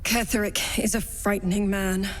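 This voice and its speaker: A woman's voice: mid-range voice, flat delivery, normal speed, close to an everyday voice. Poised, wry fantasy cleric played by a woman. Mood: earnest, guiding. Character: poised, wry young female cleric, brave and earnest. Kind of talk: dramatic video game acting.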